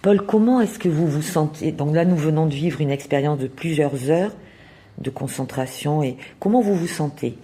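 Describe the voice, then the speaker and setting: Mellow-voiced woman interviewer. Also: low-pitched, normal speed, slightly rough. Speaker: workshop interviewer. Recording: some background noise, room ambience.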